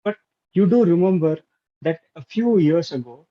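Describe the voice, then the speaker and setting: A man's voice: a little high, expressive, normal speed. A Tamil-speaking man with an Indian English accent, giving an earnest prepared speech over a video call, with some restarts. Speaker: club speaker. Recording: dull lo-fi call audio.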